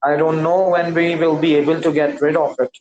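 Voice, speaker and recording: A man's voice: fairly high pitch, level tone, a little mellow, lo-fi sound. A man whose first language is Urdu, an English learner speaking carefully and hesitantly in a casual practice conversation over a video call. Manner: careful, hesitant, many pauses and affirmations. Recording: roomy video call audio.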